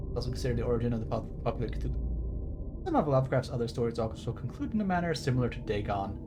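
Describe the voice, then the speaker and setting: A man's voice: medium pitch, lively intonation, fast. Rambling wry male streamer, faint background noise. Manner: digressive, wry, explaining trivia. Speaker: game streamer. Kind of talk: casual rambling livestream commentary.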